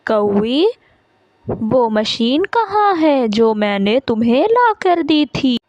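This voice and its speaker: A woman's voice: fairly high pitch, very animated, slow pace, a little mellow, no echo, highs cut off. A woman voicing an enterprising male crow husband in a cartoon, persuasive and insistent. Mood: questioning. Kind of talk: Hindi cartoon character voice.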